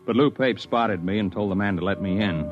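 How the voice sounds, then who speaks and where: A man's voice: lowish voice, a little fast, music in the background, close to an everyday voice. An American man playing an earnest, stubborn stage actor turned amateur sleuth in a 1950s radio drama. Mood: grim narration. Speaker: first-person narrator and lead character. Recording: dull, lo-fi 1950s radio broadcast.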